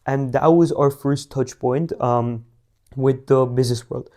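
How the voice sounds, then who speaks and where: A man's voice: mid-range voice, fairly even intonation, a little slow, dark tone. A teenage man from India, a young business founder answering interview questions in a casual, rambling way with many fillers and restarts. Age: teens.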